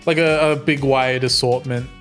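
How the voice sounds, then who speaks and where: A man's voice: medium pitch, steady tone, moderate pace, fairly bright tone, faint music behind. A man chatting casually as a player in a tabletop game.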